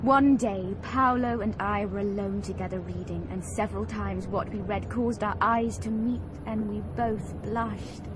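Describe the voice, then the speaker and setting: An actress playing a sorrowful young lover's spirit, speaking tenderly and plaintively in an audio drama. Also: female voice, medium pitch, steady tone, unhurried, hardly any pauses, lightly acted. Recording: noisy atmospheric background.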